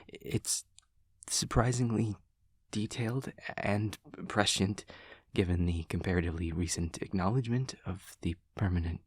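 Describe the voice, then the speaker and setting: Man acting as a low, hushed, awkward demon classmate. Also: dry recording, exaggerated voice. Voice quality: soft, breathy, husky. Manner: hesitant, stammering, stiffly formal and literal. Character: awkward, earnest young male demon classmate, anxious to comfort. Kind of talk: intimate audio roleplay, whispery ASMR-like acting.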